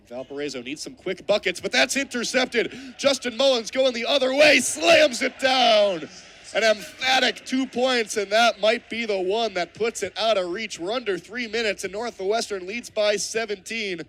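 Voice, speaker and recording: A man in his twenties calling live basketball play-by-play for radio, descriptive and animated, swelling on big plays. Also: high-pitched. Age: 20s. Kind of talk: live radio sports commentary. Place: courtside at basketball games. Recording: background noise of a live game.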